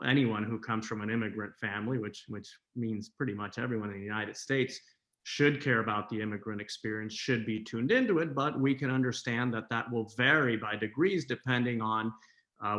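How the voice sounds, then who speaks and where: A man in his thirties on a video call interview, explaining points in a measured way with frequent "uh" fillers. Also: mid-range voice, a bit bright, dull audio. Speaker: guest commentator. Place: home room.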